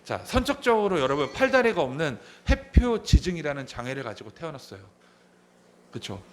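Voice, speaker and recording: A man in his thirties preaching a sermon as a pastor, asking the congregation questions and repeating key phrases. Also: a little high. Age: 30s. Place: church sanctuary.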